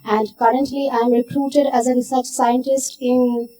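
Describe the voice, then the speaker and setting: A woman's voice: a little high, little pitch movement, moderate pace, dark tone, faint music behind. A woman research scientist introducing herself at a conference in a formal, slightly wordy way. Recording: distant mic in a meeting room.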